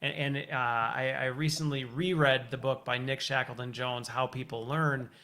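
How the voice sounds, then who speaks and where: A man's voice: medium pitch, steady tone, normal speed, pushed and bright. A man hosting a casual interview, talkative, thinking aloud and recapping what he has heard. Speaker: interview host.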